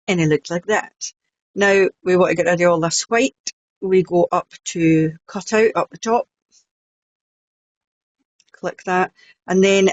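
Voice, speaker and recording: A woman's voice: on the low side, no echo. A woman with a Scottish accent, chatting her way through a step-by-step crafting tutorial with blunt, frank asides. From Scotland.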